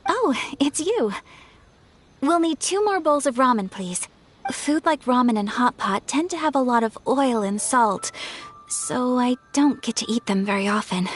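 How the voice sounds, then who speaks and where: A woman playing a polite, refined, gentle young noblewoman in a video game, poised and courteous. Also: fairly high pitch, expressive, unhurried. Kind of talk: video game character acting.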